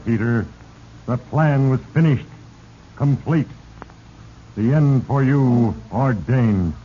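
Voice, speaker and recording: An actor playing sinister Fate, narrating a 1940s radio drama in a grave, portentous, accusing manner. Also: male voice, mid-range voice, mellow, close to an everyday voice. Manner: grave, portentous, accusing second-person address. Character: sinister, heavy-handed male Fate narrating men's doom. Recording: dull, noisy 1940s radio recording.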